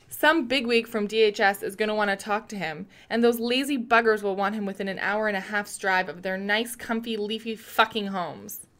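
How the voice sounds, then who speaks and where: A determined, commanding woman played by an actress in a radio play. Her voice is middling in pitch, and she talks bluntly and briskly, probing for answers and giving orders. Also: fairly bright tone, lightly acted. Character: determined, commanding woman leading an urgent investigation.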